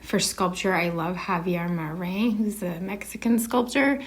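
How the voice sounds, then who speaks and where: A woman's voice: lowish voice, a little slow. A woman with a Canadian accent giving casual, unscripted interview answers, a bit hesitant as she thinks aloud. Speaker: artist being interviewed.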